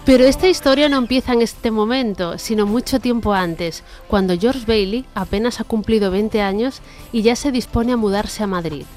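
Spanish-woman narrating over soft music. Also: a little high, normal speed. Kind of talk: scripted storytelling narration for a radio play. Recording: music under the voice.

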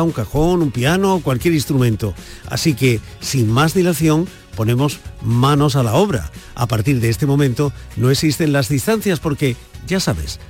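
Male radio host, lively and chatty, over faint music. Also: mid-range voice. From Andalusia. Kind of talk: radio program presenting and interview. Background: music bed.

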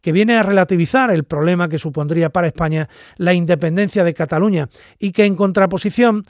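A man from Andalusia, giving measured documentary narration. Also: high-pitched, dry recording, clean studio sound. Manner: measured, explanatory.

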